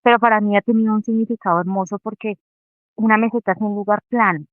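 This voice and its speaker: A woman speaking earnestly and reflectively over a video call, telling a personal faith story to an online group and pausing often as she thinks. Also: mid-range voice, fairly even intonation, no echo. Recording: tinny phone-like call audio.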